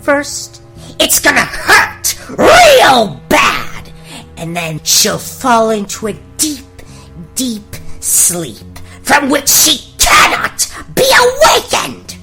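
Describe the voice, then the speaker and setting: A woman's voice: a little high, wildly swooping pitch, extremely slow, creaky, bright, forceful tone, heavily acted. A woman voicing a vengeful, sassy wicked fairy witch with sarcastic, theatrical monologues in a fairy-tale parody radio play. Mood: menacing, vengeful. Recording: music bed behind voice.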